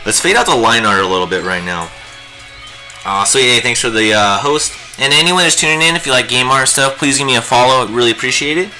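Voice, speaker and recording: Bright-voiced, joking young male streamer. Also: mid-range voice, expressive, quick pace, soft background music, rough recording. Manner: rambling and jokey, lots of fillers. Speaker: livestream host teaching digital painting. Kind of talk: casual live-stream chat while painting.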